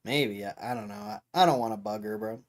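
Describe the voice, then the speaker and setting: A hoarse young man hosting a livestream in a loose, rambling way, talking to his chat and often clearing his throat. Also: on the low side, fairly quick. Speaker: livestream host.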